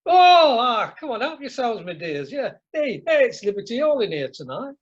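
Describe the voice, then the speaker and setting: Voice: mid-range voice, sing-song, normal speed. An amateur actor playing a blunt, jovial Northern English husband, chummy and blustering, in a radio comedy. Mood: jovial, showing off. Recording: muffled online meeting audio.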